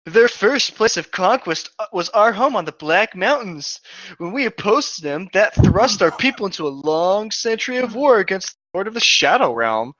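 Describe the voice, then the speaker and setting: A man voicing a proud wounded griffin in a formal, halting storytelling manner, on a dull home microphone. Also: sits high, sing-song, pushed and bright, dry recording, voice pushed up, heavily acted. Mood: solemn, strained.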